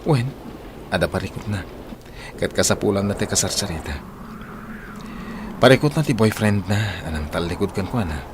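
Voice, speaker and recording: A man's voice: on the low side, lively intonation, moderate pace, pauses often, noisy background, natural voice. A man playing a devoted, protective young suitor in a radio drama. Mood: earnest, teasing. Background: loud music.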